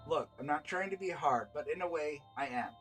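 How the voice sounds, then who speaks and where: A man's voice: on the high side, a little fast, a bit bright, soft background music, close to an everyday voice. A man voicing a brusque, exasperated female guild leader in a story, curt and commanding. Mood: stern.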